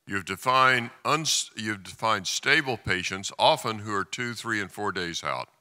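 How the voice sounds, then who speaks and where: A man from the United States addresses a conference from the audience. His voice is lowish, very bright and resonant, ringing through the hall. He speaks in a measured, oratorical way, setting out a formal question. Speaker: audience questioner, trauma doctor.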